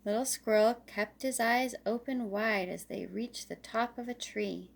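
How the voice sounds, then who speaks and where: A woman reading a children's story aloud as scripted narration, expressive and deliberate. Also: fairly high pitch, creaky.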